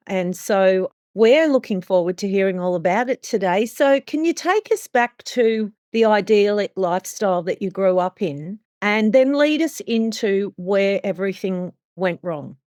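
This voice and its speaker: A woman's voice: medium pitch, normal speed, hardly any pauses, darkish tone, no room sound, studio quality. A woman hosting an interview podcast, introducing and talking with a guest in a conversational way. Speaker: podcast host.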